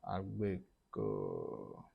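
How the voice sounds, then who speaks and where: A young man from Ghana with a Ghanaian English accent, talking viewers through a screen-recorded tutorial step by step, with fillers and pauses. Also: very deep voice, big pitch swings, vocal fry, slightly rough, phone-quality sound. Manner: casual explaining, talks through each step, halting with fillers. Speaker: tech YouTuber. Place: small room indoors.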